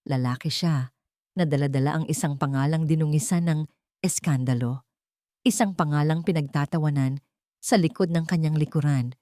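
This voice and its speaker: A woman's voice: deep voice, moderate pace, mellow, no echo, studio quality, close to an everyday voice. A woman voicing a cold, proud, wealthy matriarch in an audio drama, speaking with bitter menace. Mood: venomous, bitter. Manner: bitter, threatening, whispering venom.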